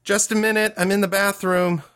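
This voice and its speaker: A man's voice: low voice, steady tone, normal speed, dry recording, clean studio sound, close to an everyday voice. A man voicing an earnest, tender-hearted and easily flustered young man in a fantasy audiobook. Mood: urgent. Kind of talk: dramatized audiobook dialogue.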